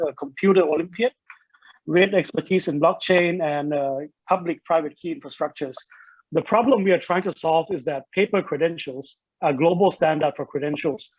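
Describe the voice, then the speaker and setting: A man from Thailand pitching his startup on a video call, steady but dotted with ums and restarts. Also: no echo. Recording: dull lo-fi video call audio.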